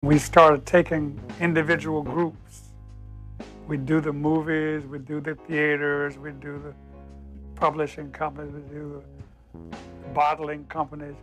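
An older man in a documentary interview, recalling past events slowly and emphatically. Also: a little high, level tone, a bit bright, soft background music. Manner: slow, emphatic recollection. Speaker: interviewee recalling the civil rights movement.

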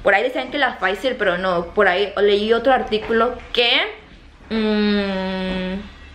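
A woman in her thirties with a lightly Mexican accent chats to a camera held close in her kitchen, circling back with fillers and repeated words. Her voice sits at a middle pitch. The room adds a noticeable echo and a faint hum underneath. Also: a little slow. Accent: Mexican Spanish, softened toward neutral. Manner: chatty, animated hand gestures, many fillers and repeated words. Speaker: vlogger. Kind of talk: casual talk to camera. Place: home kitchen, indoors. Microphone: camera close to face.

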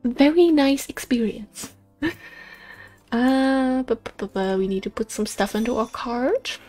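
A woman's voice: on the high side, a little slow, dark tone, soft background music. Chatty young woman gaming. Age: 20s. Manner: chatty, storytelling, giggly asides. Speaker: gaming channel host. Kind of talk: casual let's play commentary. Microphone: USB condenser mic.

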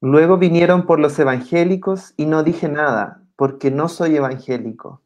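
A man reading lines of a poem in a steady, measured way on a video call. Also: on the high side.

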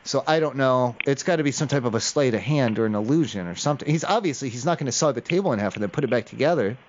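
A man's voice: mid-range voice, animated, very fast. A man making an improvised prank phone call, rambling in an evasive, hesitant way. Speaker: prank caller. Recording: dull lo-fi call audio.

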